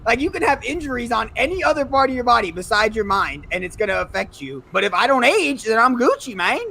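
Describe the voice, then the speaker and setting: Animated, high-voiced young man bantering. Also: quick pace, creaky, breathy edge. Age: 20s. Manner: animated, jokey, slangy banter. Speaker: co-host. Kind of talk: casual chat.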